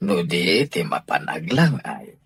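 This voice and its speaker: Man acting low, husky, stern husband. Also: wildly swooping pitch, a little slow, fairly bright tone, full character voice. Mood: insistent. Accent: Ilocano. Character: firm, sanctimonious husband and head of household. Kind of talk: Ilocano radio drama acting.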